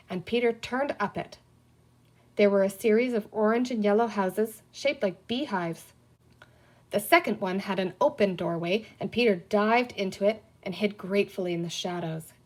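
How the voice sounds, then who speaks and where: A woman narrating a children's story as an audiobook reader. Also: mid-range voice.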